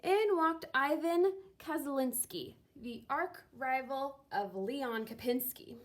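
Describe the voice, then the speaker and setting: A woman with a high-pitched voice reads a children's story aloud. Her pace is slow and deliberate, and she gives phrases a dramatic, expressive emphasis. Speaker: teacher reading a picture book aloud.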